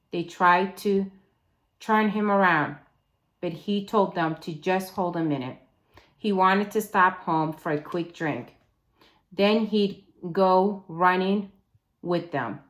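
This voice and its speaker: A woman reading a children's novel aloud as a teacher would, in an expressive, deliberate storytelling way. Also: mid-range voice.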